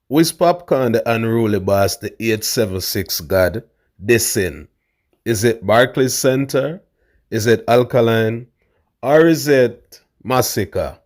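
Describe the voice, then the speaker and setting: A man with a big voice, hosting a casual commentary vlog in a loud, hyped way. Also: medium pitch. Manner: loud, hype, calls viewers fam. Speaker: host.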